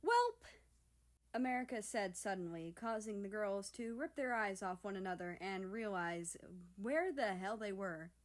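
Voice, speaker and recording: A woman's voice: medium pitch, normal speed, few pauses. A woman narrating scripted fiction as a story reader.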